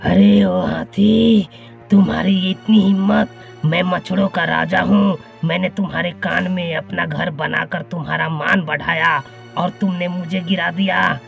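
A man's voice: high voice, steady tone, unhurried, creaky, full character voice. A man voicing a pompous, hot-tempered mosquito king in a cartoon comedy, boastful and imperious, with music behind him. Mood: furious, indignant. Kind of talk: Hindi cartoon comedy voice acting.

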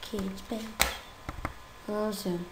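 A young woman talks over her game in an unhurried, giggly way, her voice croaky from a cold. She sounds easily exasperated and chatty, recorded in a roomy space with a faint hiss behind her. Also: mid-range voice, fairly even intonation, a little mellow. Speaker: gaming YouTuber. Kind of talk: casual gameplay commentary.

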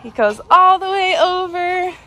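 A woman's voice: very high-pitched, animated, unhurried, bright, forceful tone. A woman chatting upbeat while filming a home vlog in her backyard on a phone. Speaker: vlogger. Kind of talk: casual home vlog narration. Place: outdoors in a backyard. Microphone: handheld phone camera. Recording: background music bed.

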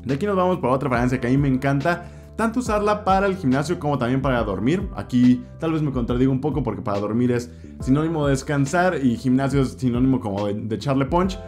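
A man's voice: medium pitch, animated, fast, darkish tone, faint music behind. Chatty Mexican young man reviewing fragrances. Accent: Mexican Spanish. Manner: chatty, gestures a lot, repeats words for emphasis. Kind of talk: casual review vlog. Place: indoor room with shelves of perfume bottles. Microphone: desk mic in front, camera at mid distance.

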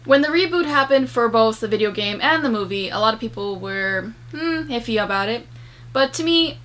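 Young female vlogger, conversational and emphatic, higher-pitched bright voice, casual review delivery. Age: young adult. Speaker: video host and game reviewer. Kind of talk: casual movie review to camera. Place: small room at home, indoors.

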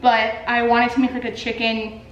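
A woman's voice: fairly high pitch, normal speed, muffled recording, a little hiss or hum. Young female artist vlogger, casual and rambling, full of "like"s, camera on a stand in a roomy kitchen. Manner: chatty, many "like" fillers, animated hand gestures. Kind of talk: casual vlog chat. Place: home living room and kitchen, indoors. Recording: echoey room.

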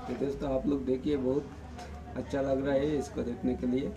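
A man's voice: mid-range voice, little pitch movement, fairly quick, muffled recording. A young man from India giving a casual commentary as he hosts a product unboxing. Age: young adult. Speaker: unboxing video host. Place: just outside a room at home, seated.

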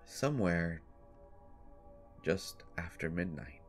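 Male actor as weary, haunted brother for horror audio monologue; unhurried, deliberate pauses, low register. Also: expressive. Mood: uneasy, hushed. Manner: halting, deliberate phrasing with long pauses. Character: haunted, sleepless, exasperated brother recounting a horror tale. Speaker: audio drama storyteller. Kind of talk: dramatic first-person horror monologue. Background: music.

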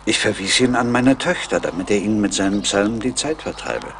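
A man acting an anguished colonel and father in a German radio play, gravelly, formal and emotional. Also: fairly low pitch, animated, fairly quick, slight background noise. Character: anguished older colonel, father searching for his daughters.

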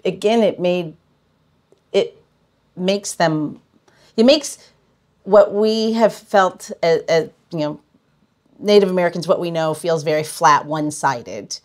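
A woman with a voice a little on the low side hosts a casual interview. She talks haltingly, working out her thoughts aloud as she goes. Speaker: podcast host.

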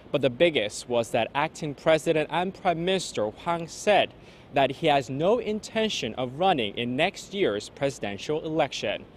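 A man's voice: fairly high pitch, a little hiss or hum. Young male news reporter. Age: young adult. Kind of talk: scripted news report narration.

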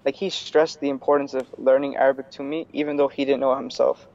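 Young guy from new york on a video call, kinda flat monotone voice, dull audio, lots of likes and restarts. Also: mid-range voice, quick pace. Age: young adult. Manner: relaxed, lots of fillers and restarts. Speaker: guest, student. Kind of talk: casual interview over video call. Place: small room indoors. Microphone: earphone headset on video call.

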